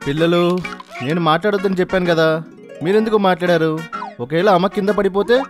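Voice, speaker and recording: Woman playing firm, encouraging low-voiced cartoon dad. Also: quick pace, close to an everyday voice. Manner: instructing, reassuring, firm. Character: encouraging but firm father in a family cartoon. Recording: music loudly behind the voice.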